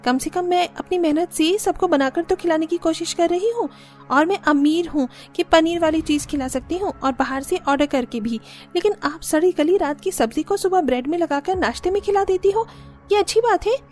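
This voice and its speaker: A haughty young wife from a rich family, acted by a woman in a cartoon story. Her voice is very high, whiny and indignant, with faint music behind it. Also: normal speed, darkish tone. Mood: resentful, scornful. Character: haughty, resentful young rich daughter-in-law. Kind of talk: Hindi cartoon story dubbing.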